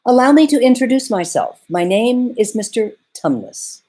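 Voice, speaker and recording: Give me a fussy, courteous faun as a woman reading aloud puts him on, pitched up above her own voice and swinging all over in excited bows of speech. He is a polite, old-fashioned storybook creature, a bit melancholy under the fuss, and the voice stays soft and a little husky. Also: normal speed. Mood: eager courteous.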